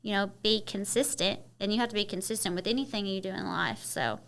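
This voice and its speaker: A woman's voice: medium pitch, a little fast, creaky, over a noisy crowd. A woman from Kentucky with a Southern twang, chatting openly as a podcast guest and using many "you know" fillers. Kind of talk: casual interview chat.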